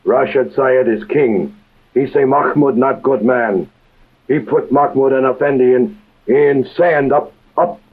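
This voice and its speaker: An actor playing a humble, loyal Middle Eastern servant with an Arabic accent, speaking halting broken English in an old-time radio drama. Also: male voice, medium pitch, husky. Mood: earnest, solemn. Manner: halting broken English, short simple phrases. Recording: tinny band-limited vintage radio recording.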